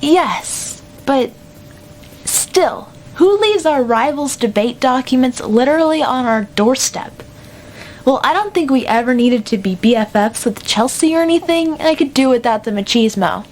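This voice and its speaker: A woman playing a bossy, sardonic teenage debate team captain in an amateur radio drama, brisk and dry. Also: lively intonation. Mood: commanding. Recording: clear background noise with low rumble.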